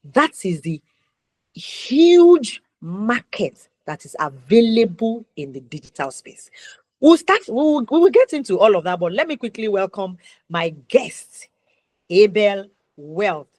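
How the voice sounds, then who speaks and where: A woman with a Nigerian accent hosting an interview show, speaking in deliberate, emphatic phrases and posing rhetorical questions. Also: mid-range voice, very animated, a little mellow, no room sound. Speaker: talk show host.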